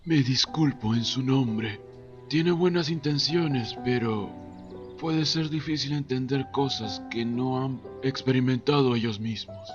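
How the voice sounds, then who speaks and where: Man playing slow, patient fatherly figure. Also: mid-range voice, close to an everyday voice. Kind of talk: Spanish fandub voice acting. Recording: dull lo-fi audio, music behind.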